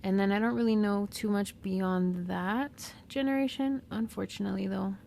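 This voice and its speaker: A woman with a Southern California accent whose first language is Spanish, talking unscripted and reflectively in a slow, pausing monologue as a podcast host. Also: mid-range voice, vocal fry, a little mellow, slight background noise. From Mexican-American. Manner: slow, reflective, with pauses and fillers.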